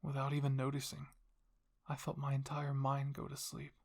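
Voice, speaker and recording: A man's voice: mid-range voice, monotone, normal speed, vocal fry, a little mellow. A man reading scripted story narration as the host of an ASMR roleplay channel.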